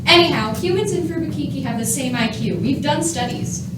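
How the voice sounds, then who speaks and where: Blustering official, played by a woman, very high voice, pompous; echoey, noisy radio play recording. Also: expressive, a little fast. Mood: dry. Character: indignant, blustering human official confronting aliens. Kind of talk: comedic radio play acting. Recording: roomy, distant, noisy home recording.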